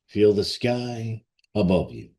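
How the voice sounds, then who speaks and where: A man with a fairly low voice guides a ceremonial meditation. He speaks very slowly, leaving long pauses between short phrases. His delivery is solemn and reverent, like a formal invocation. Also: very animated, mellow.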